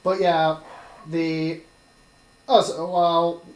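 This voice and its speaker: A man's voice: fairly high pitch, little pitch movement, extremely slow, crowd noise. Man explaining things in a roomy space. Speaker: let's play commentator. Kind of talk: casual gaming commentary. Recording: roomy, echoing sound.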